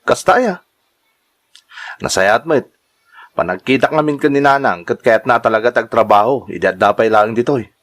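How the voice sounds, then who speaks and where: A man's voice: medium pitch, expressive, moderate pace, pauses often, fairly bright tone, dry recording, lightly acted. A man acting a reassuring, easygoing big brother. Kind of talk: Ilocano radio drama acting.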